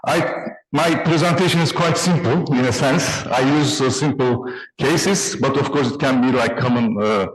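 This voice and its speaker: A man from Turkey with a Turkish accent giving a conference presentation, haltingly, often repeating and reworking his words. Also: medium pitch, rough recording. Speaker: conference presenter, trade consultant.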